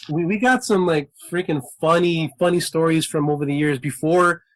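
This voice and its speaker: A man's voice: a little high, normal speed, rough recording. A man in his late twenties, a musician guest on a podcast, rambling with lots of filler words. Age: 20s. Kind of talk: casual interview chat.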